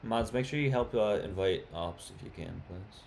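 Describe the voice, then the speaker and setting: A man with a lowish voice runs a casual live stream, greeting viewers and taking callers. He talks in an easy, chatty way but gets heated and insistent when he argues. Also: normal speed, gravelly, darkish tone, slight background noise. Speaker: livestream host.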